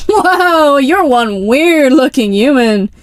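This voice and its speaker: A woman's voice: sits high, animated, slow pace, slight background noise. A woman playing a young, feisty superheroine in an audio drama, speaking in a casual, flippant way.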